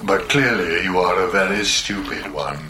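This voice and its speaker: An actor playing a weary, pessimistic male robot in a radio play, speaking in a flat, despondent drawl. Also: low-pitched, moderate pace, close to an everyday voice. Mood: glum. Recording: hissy radio play recording.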